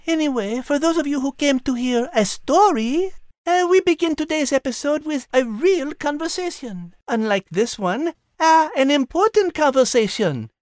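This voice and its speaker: Lady doing a smart cat hostess, high voice, no room echo, patient and prim. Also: expressive, normal speed, darkish tone, clean studio sound. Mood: explaining. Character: smart female cat co-host, French manner. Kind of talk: scripted podcast banter.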